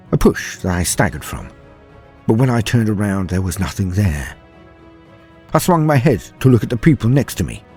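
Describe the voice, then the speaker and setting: A man's voice: fairly low pitch, darkish tone, lightly acted. A man playing a haunted, fearful, weary soldier, telling a scripted war horror story with grave, theatrical delivery.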